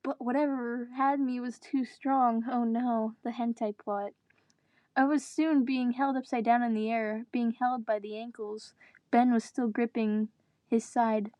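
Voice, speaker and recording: A woman's voice: on the high side, fairly even intonation, measured pace, mellow, no echo. A woman reading a story aloud dramatically, with joking asides in her own voice. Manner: breaks character to groan and joke. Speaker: reader of fan fiction.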